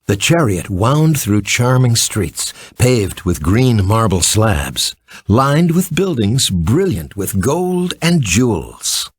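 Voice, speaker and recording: Animated male storybook narrator, dry sound. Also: lowish voice, slow, slightly rough, no echo. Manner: expressive, theatrical storytelling. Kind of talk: scripted children's audiobook narration.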